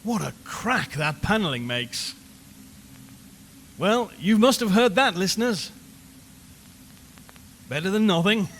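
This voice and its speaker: An actor playing an unnerved radio reporter in an audio horror drama, rambling and repeating himself with forced cheer as he slowly loses his nerve. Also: high voice, animated, a bit bright, exaggerated voice. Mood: nervously jovial. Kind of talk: audio horror drama, live broadcast monologue. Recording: long hollow reverb, clear background noise.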